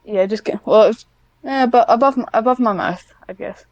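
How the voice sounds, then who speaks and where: A woman's voice: a little high, moderate pace, a little mellow, dry recording, lo-fi sound. A young woman chatting casually as an interview guest, with a self-deprecating, dryly joking manner. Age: young adult. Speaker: podcast guest, script writer.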